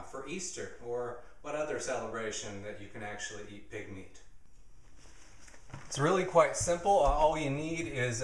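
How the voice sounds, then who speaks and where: Laid-back man joking in a roomy kitchen. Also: mid-range voice. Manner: laid-back, rambling, deadpan asides. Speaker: comedian hosting a home cooking video. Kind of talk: jokey mock cooking show. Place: home kitchen, indoors. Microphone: camera mic, handheld or nearby.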